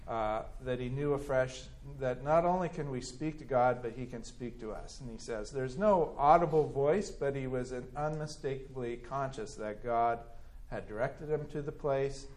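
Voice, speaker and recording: A man teaching a Bible class on a pastor's biography, reading passages aloud and commenting in a lively, conversational way with many restarts. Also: medium pitch, normal speed, few pauses. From California. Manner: conversational, animated, acts out quoted voices, many restarts and fillers.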